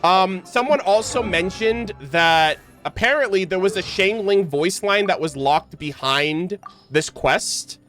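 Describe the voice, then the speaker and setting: Guy streaming a game, highish voice, excited casual rambling, music in the background. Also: measured pace. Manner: enthusiastic, gushing. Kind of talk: casual livestream commentary.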